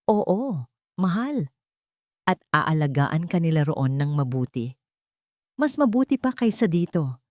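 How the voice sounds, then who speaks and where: A woman's voice: low-pitched, sing-song, unhurried, pauses often, darkish tone, dry recording, clean studio sound. A woman voicing a scheming, cold-hearted rich stepmother whose sweet voice hides steel, in an audio story. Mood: falsely sweet. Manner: sweet on top, icy and commanding underneath.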